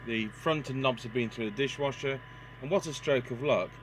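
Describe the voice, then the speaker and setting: A man's voice: mid-range voice, moderate pace. A man gives an unscripted hands-on repair demonstration, matter-of-fact and thinking aloud as he works. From United Kingdom. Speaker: radio repair technician and presenter. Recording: noisy workshop recording with electrical hum.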